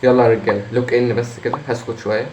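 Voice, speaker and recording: A hoarse man with an Egyptian Arabic accent, commenting on his own gameplay, muttering encouragement to himself and breaking into frustrated outbursts. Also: medium pitch, flat delivery, racing. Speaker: gamer. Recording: echoey room with faint noise.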